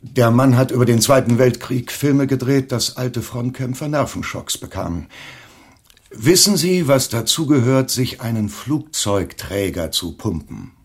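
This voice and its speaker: An actor in a German radio play, playing a fat, shady, wheedling Hollywood film agent who cajoles and hedges. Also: male voice, mid-range voice, animated, unhurried, slightly rough. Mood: cajoling.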